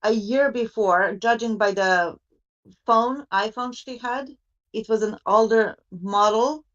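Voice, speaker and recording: A woman's voice: fairly high pitch, slow, fairly bright tone. A woman chatting bluntly and conversationally as a panelist, heard over a lo-fi online call. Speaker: discussion panelist. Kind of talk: casual opinionated chat.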